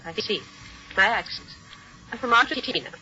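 A woman's voice: on the high side, lively intonation, moderate pace, sharp tone, faint music behind. An actress playing a guarded widow with a German accent who is hiding her past, in a 1940s radio drama style. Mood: wary. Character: guarded German widow passing as an American's wife. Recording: muffled old radio broadcast with faint hiss.

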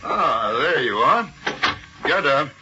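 An actor playing a brisk, hearty wealthy yacht owner in a 1940s radio drama style. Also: male voice, on the high side, very animated, full character voice. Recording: muffled old radio broadcast with clear hiss.

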